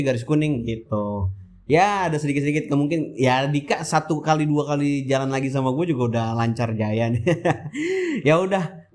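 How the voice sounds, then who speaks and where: Casting: male motovlogger, mid-pitched, Jakarta-area Indonesian accent, informal and rambling voice-over. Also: a little mellow. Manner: colloquial, rambling, asks and answers own questions. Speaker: motovlog host. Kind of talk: casual vlog voice-over, chatty and informal.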